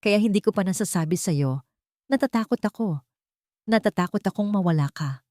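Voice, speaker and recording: A woman's voice: mid-range voice, expressive, moderate pace, warm tone, no echo, clean studio sound, lightly acted. Woman acting a pleading, scheming wife. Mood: tearful pleading. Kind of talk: audio story drama.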